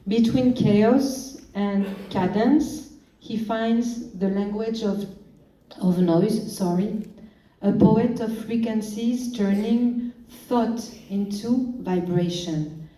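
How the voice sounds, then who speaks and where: A woman emceeing a literature event, formally introducing authors one by one in a measured, deliberate way. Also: medium pitch, mellow. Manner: measured and deliberate, pauses between phrases. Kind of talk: formal stage introductions, read aloud. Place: large hall at a literature event. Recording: echoey hall, far from the mic, faint noise.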